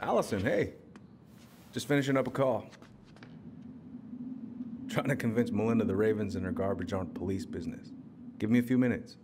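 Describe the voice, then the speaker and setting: An actor as a middle-aged small-town policeman and uncle in a video game. His voice is on the low side, genial and folksy, with a little ambient noise behind it. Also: fairly quick, long pauses, lightly acted. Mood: friendly. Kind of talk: naturalistic video game acting. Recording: faint background ambience.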